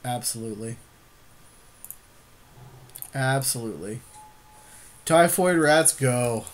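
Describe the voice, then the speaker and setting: A man's voice: medium pitch, expressive, slow. A man co-hosting casual gameplay commentary, agreeing readily with playful, slangy banter. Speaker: podcast co-host playing an online card game.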